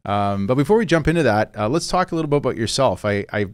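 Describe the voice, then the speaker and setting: A man's voice: medium pitch, lively intonation, fast talker, clear, resonant tone, no room sound. A middle-aged man from Vancouver Island hosting a podcast, rambling with fillers and restarts at a studio mic in a small office. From Vancouver Island, Canada. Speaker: podcast host. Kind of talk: casual interview. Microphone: studio mic on boom arm, headphones.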